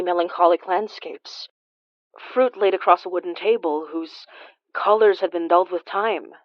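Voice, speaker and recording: An actress as a grave, watchful woman narrating eerie horror fiction in a lowish voice. Her delivery is measured, with dramatic pauses, recorded very dry and a little muffled. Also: no echo, natural voice. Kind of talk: scripted first-person horror narration.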